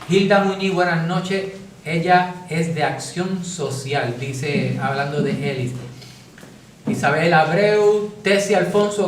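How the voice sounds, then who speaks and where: A man's voice: a little high, far from the mic, slight background noise. A man with a Puerto Rican accent hosting a live-streamed interview, greeting viewers and reading their comments in a friendly way. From Puerto Rico. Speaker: host. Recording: echoey room, voice from off-mic.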